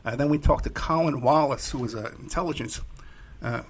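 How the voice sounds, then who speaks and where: A man's voice: medium pitch, brisk, slight background noise. A man hosting a podcast as a private investigator, talking loosely with frequent fillers. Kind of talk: casual podcast hosting and ad reads.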